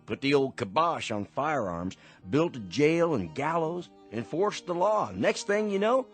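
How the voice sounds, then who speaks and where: An actor playing a chatty, proud Old West saloon keeper in a radio drama, with an American accent and a folksy, earnest manner. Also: male voice, fairly high pitch, big pitch swings, unhurried, soft background music.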